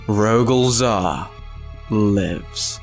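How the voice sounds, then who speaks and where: A man's voice: on the low side, very slow. A man narrating a scripted story as the host of a channel. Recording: faint music behind the voice.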